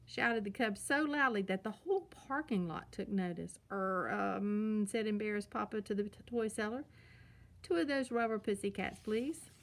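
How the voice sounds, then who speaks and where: High-ish woman reading a children's story. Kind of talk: children's storybook read-aloud.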